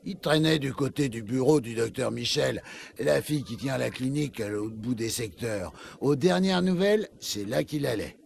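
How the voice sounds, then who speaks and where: A smug, bitter policeman from a video game, talks in a mid-pitched voice full of gravelly creak. He sneers and insinuates like a seedy gossip. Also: expressive, moderate pace, slight background noise. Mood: smug, insinuating. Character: sleazy, bitter male human cop, smug gossip.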